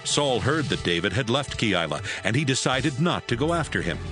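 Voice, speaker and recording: A man's voice: mid-range voice, sing-song, normal speed, over music. A man giving scripted narration of a Bible story as the narrator.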